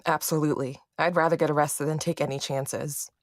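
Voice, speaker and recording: A woman's voice: sits low, fairly even intonation, brisk, a little hiss or hum. An actress playing a guarded, hard-edged, defiant woman in a horror audio drama, terse and curt. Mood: tense.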